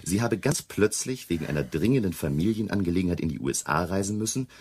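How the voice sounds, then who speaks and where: An actor playing a worried, insistent English gentleman in a German radio crime drama, formal, earnest and husky. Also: deep voice, expressive, fast talker, slight background noise. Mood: agitated, insistent.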